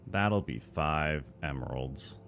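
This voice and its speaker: A man voicing a gloomy, weary shopkeeper in an animation, droning with flat, resigned effort at pep. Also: extremely low pitch, slow pace, soft background music, exaggerated voice. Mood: depressed, dutiful. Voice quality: droning, Squidward-like tone. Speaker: voice actor recording takes. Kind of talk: animated cartoon voice acting.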